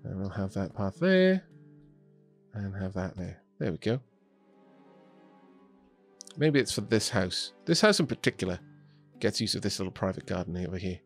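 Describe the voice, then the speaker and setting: A young Welsh man chatting his way through a building game, his voice rising and falling in a lively way as he thinks aloud. Soft music plays faintly underneath him. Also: low voice, normal speed, pauses often. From Wales. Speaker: streamer. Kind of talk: casual gameplay commentary. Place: home streaming setup in Scotland.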